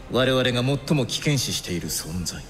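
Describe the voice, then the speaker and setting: A man's voice: medium pitch, animated, normal speed, a bit bright, natural voice. Cold, haughty young villain, acted by a man, reverberant. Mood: cold, threatening. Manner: pompous, coolly analytical. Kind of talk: anime game cutscene acting. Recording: long reverb, music behind.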